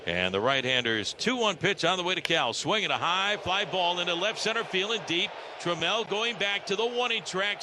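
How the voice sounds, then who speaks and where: An American man calling a live baseball game on the radio, in clipped descriptive phrases with some drawn-out calls. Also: a little high. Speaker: baseball radio play-by-play announcer. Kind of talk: live play-by-play commentary. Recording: radio broadcast with clear background noise.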